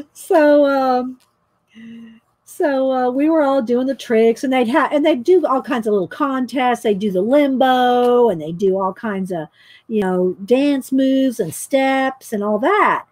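A woman's voice: high-pitched, slow pace, darkish tone, dry recording. A middle-aged woman, chatting and laughing often as she tells a story while painting. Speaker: painting vlogger. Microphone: overhead camera mic, speaker off screen.

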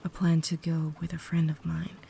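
Female actor as refined, caring vampire lady, ASMR roleplay. Low, soft whisper, gentle formal phrasing, noticeable ambience behind. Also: monotone, moderate pace, vocal fry. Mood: inviting, cultured. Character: cultured, caring vampire lady, secretly holding back. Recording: noticeable background ambience noise.

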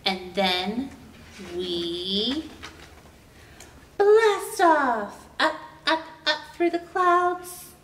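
A young adult woman reading a children's picture book aloud as a storytime host, with deliberate, expressive storytelling for young children. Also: sits high. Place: indoor room with painted mural. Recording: roomy, distant mic, faint noise.

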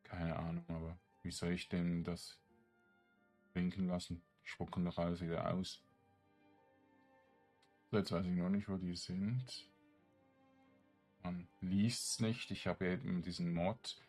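A man with a very low voice talks slowly through a video game, thinking aloud. His casual commentary is halting and muttered, as if half to himself, with music playing behind him. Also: flat delivery, a little mellow. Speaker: gaming streamer.

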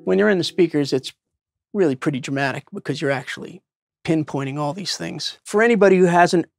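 A man's voice: on the high side, a little fast, vocal fry, no room sound. An older man, casually demonstrating his work as an audio engineer. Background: loud guitar music.

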